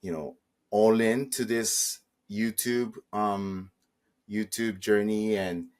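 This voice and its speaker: A man from Saipan speaks in a low voice as a guest in a casual interview. He is modest and a little nervous, feeling his way hesitantly through his sentences. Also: steady tone, takes it slowly. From Saipan, Northern Mariana Islands. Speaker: podcast guest, travel vlogger.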